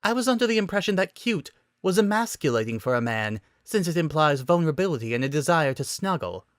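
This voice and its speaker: A man's voice: a little high, sing-song, quick pace, a bit bright, no room sound, clean studio sound, pitched above the performer's own voice. A man voicing a playful, teasing young woman in a dramatic reading of a romantic comedy story.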